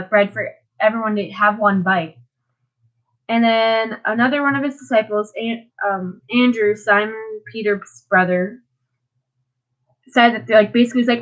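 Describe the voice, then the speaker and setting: A teenage girl, congested and sniffly, talking through her Bible reading in a loose, rambling way, full of "like" and "um". Her voice sits a bit high for a woman, and the recording is dull and lo-fi. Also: fairly bright tone. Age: teens. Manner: rambling, many fillers and restarts. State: stuffed up, sniffling. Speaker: vlogger. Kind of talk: casual devotional talk.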